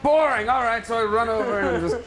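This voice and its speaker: A man's voice: very high voice, quick pace. A man talking casually as a player in a tabletop role-playing game.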